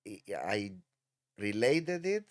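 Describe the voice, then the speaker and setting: A man's voice: fairly low pitch, big pitch swings, takes it slowly. A middle-aged man from Cyprus, a hotel director talking as a guest in a casual interview, hesitant, with many ums and restarts.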